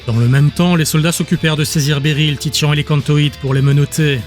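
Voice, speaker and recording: A man's voice: a little high, fairly even intonation, a little fast. A man narrating a scripted fantasy audiobook in a dramatic storytelling style. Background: music.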